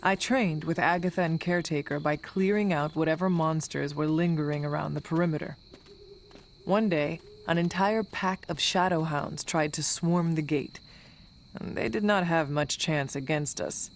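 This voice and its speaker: A woman playing an earnest, solemn warrior heroine in a video game, speaking in a formal, measured way. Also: sits low, long reverb tail, slight background noise. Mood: reflective. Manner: formal, measured, avoids contractions. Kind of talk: video game character acting.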